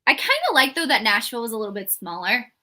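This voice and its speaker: A woman's voice: on the high side, animated, fast, bright, forceful tone. A woman co-hosting a live book readalong show, chatting casually and animatedly with a friend. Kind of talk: casual chat between friends.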